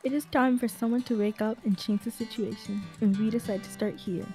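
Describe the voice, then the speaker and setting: A resolute young protester in a radio play acted by a woman. The voice is somewhat high, read in an amateur style over clear music. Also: fairly even intonation, brisk, natural voice. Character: resolute, worried young male student protester. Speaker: student actor in a class radio drama. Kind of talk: amateur radio drama reading.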